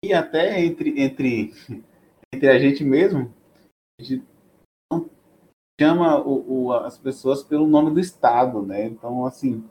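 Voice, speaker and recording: A man with a Bahian accent tells his story in a casual, halting way, with frequent restarts. His voice sits at an ordinary pitch, and faint noise runs under the recording. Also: darkish tone, poor audio. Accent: Bahian Portuguese. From Bahia, Brazil. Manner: hesitant, many restarts and fillers. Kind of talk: casual conversation.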